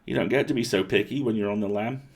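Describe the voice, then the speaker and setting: Man playing a scrappy, husky street-rat boy. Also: fairly low pitch, fast. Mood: smug, sarcastic. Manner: slangy, smug, grudging.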